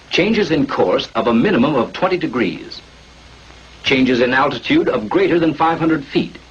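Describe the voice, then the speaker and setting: An American man reading scripted 1940s military training film narration in an authoritative, instructional manner. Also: mid-range voice. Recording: muffled, noisy 1940s film soundtrack.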